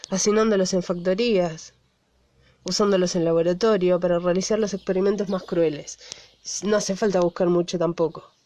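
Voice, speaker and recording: A woman's voice: lowish voice, warm tone, no room sound, lightly acted. A woman with an Argentine accent voicing an indignant activist in a conversational monologue, blunt and full of rhetorical questions. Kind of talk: conversational radio theatre monologue.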